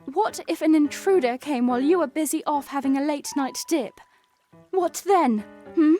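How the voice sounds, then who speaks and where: A woman's voice: high voice, normal speed, dark tone, faint music behind, natural voice. An actress voicing an earnest, worried young princess in an audio drama who teases her knight. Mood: teasing.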